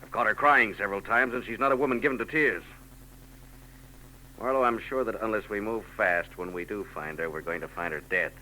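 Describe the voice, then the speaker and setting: An actor playing a grim, brusque husband in a 1940s radio drama, curt and tense. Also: male voice, lowish voice, a little fast, bright, forceful tone, rough recording. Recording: old radio broadcast with hiss.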